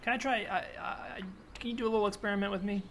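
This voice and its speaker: A man's voice: sits high, fairly even intonation, quick pace, fairly bright tone. Man playing an earnest militia man over noisy ambience. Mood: earnest, probing. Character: earnest, provocative right-wing militia man, self-styled historian. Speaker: improv comedian. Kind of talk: improvised comedy scene, conversational. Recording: clearly noisy, added ambient soundscape. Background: ambient sound effects.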